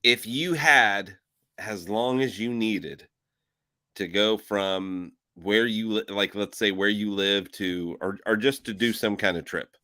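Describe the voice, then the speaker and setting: A man hosting a casual overlanding talk show, joking and energetic, circling back and repeating himself as he puts questions to his co-host. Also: fairly low pitch, slow pace, dry recording, studio quality. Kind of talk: casual podcast chat.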